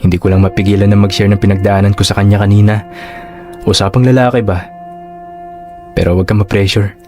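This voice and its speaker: A man acts an earnest, lovesick suitor in a radio drama, with a lowish voice. He is hesitant, pleading and unsure of himself, over faint music. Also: flat delivery, fairly quick, dark tone, natural voice. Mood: sheepish. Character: earnest, insecure male suitor longing for his sweetheart. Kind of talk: Tagalog radio drama acting. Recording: music under the voice.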